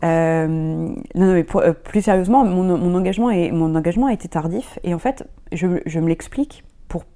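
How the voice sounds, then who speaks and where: Woman from germany chatting in an interview, kinda low voice, lots of euh and restarts. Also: fairly quick, warm tone. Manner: hesitant, many restarts and fillers. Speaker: guest. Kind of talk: casual interview talk.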